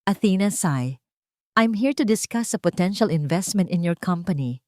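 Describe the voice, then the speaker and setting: A woman with a Filipino accent voicing a cool, confident, vengeful businesswoman in an audiobook, calm, formal and deliberate. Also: on the low side, no room sound, clean studio sound, close to an everyday voice. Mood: composed, probing.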